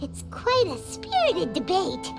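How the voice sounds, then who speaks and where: A woman voicing a tiny, excitable, childlike fairy companion in a video game, squeaky and exclamatory. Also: slow, vocal fry, loud music behind the voice, full character voice. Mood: curious, doubtful. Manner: exclamatory, refers to self in third person.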